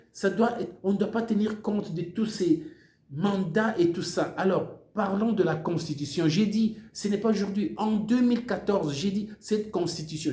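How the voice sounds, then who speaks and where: A man's voice: sits high, dark tone, roomy sound. An older man with a Congolese French accent, whose first language is Swahili, giving an impassioned political monologue with emphatic repetitions. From Democratic Republic of the Congo. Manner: emphatic, repeats words while searching for them. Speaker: political speaker.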